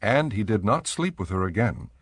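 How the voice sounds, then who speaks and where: A man reading scripted scripture narration in a measured, solemn storytelling manner. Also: lowish voice, big pitch swings, no room sound.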